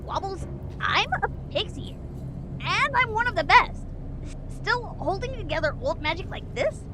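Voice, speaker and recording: A woman's voice: very high-pitched, very animated, normal speed, very noisy. A woman playing a mischievous, flippant pixie techno-mage in an audio drama, with a sarcastic, teasing delivery. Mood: strained boasting.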